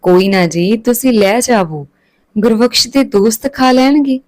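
A woman voicing a gentle but firm peacemaking village mother in a narrated family story. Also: fairly high pitch, measured pace, pitched above the performer's own voice, natural voice. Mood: insistent.

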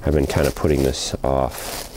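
A man casually narrating as he cleans out an old hive outdoors, thinking aloud with small pauses. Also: very deep voice, flat delivery, noisy background. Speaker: hobby beekeeper and channel host. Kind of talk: casual narration while working. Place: outdoors beside a wooden beehive.

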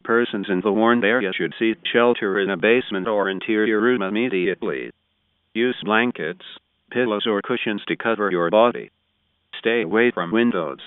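A synthetic man's voice reading an emergency weather warning in a measured, mechanical way over narrow radio sound. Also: fairly low pitch, clear, resonant tone, dry recording. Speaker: automated weather radio announcer.

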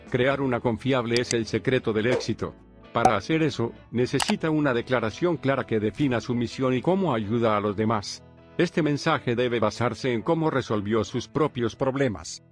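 A man with a mid-pitched voice reads a scripted voice-over in a steady, formal way, counting off each point. A faint guitar plays under him. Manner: even, formal reading of numbered tips. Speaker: narrator. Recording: faint guitar music behind.

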